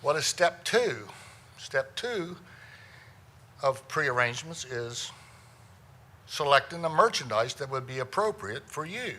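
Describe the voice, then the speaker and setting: A middle-aged man with a loud, resonant voice, giving a humorous talk as a funeral director. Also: medium pitch. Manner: loud, folksy storyteller with self-deprecating jokes. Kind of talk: humorous presentation to a lawyers' audience.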